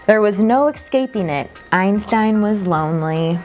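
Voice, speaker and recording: A woman reading a children's picture book aloud as a storyteller, expressively and deliberately, drawing out key words for emphasis. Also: mid-range voice, lively intonation, a little mellow, faint music behind.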